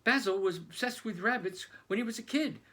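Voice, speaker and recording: A man voicing an eager, anxious young boy, in a raised, excited voice. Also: high-pitched, brisk, voice pushed up.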